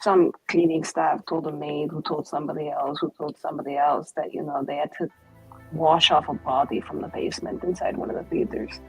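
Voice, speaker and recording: A woman playing a coy, gossiping noblewoman in a tabletop game, speaking in an arch, theatrical way with hesitant, drawn-out phrasing. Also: low voice, steady tone, gravelly, darkish tone, slightly rough, no echo, faint music behind, voice pushed down, full character voice, poor audio. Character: gossiping, coy noblewoman feigning disbelief at lurid rumors.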